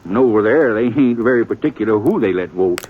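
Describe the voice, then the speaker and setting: An actor playing a gossipy, long-winded cafe owner in a 1950s radio western, folksy and American, rambling along and easily offended. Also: male voice, fairly low pitch, expressive, a little fast, slight background noise, lightly acted. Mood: chatty, eager. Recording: muffled vintage radio recording.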